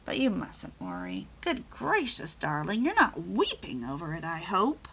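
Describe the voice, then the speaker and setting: A woman voicing a tender young husband who gently reassures his wife in a read-aloud story. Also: fairly low pitch, huge pitch swings, a little slow, vocal fry, slightly rough, heavily acted. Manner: gentle, affectionate.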